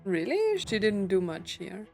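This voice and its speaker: A woman's voice: mid-range voice, wildly swooping pitch, measured pace, gravelly, a little mellow, faint music behind. A woman giving casual, chatty commentary on a game she is playing, thinking aloud as she goes. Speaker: gaming streamer.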